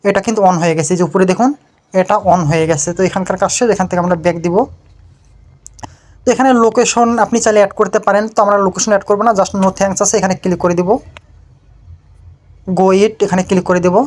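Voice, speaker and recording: A woman hosts a tech tutorial. She speaks rapidly at an average pitch for a woman. Her delivery is instructional, walking through each step with repeated phrasing. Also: fairly even intonation, darkish tone. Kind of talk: step-by-step screen tutorial narration.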